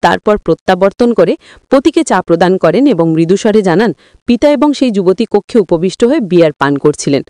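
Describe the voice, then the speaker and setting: A woman's voice: medium pitch, lively intonation, a little fast, warm tone, no echo, clean studio sound. A woman narrating a scripted moral story in formal, literary wording, as a dramatic storyteller.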